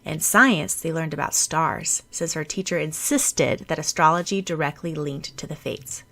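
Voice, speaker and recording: A woman's voice: low voice, normal speed, gravelly, sharp tone. A woman narrator reading a fan fiction audiobook as scripted storytelling.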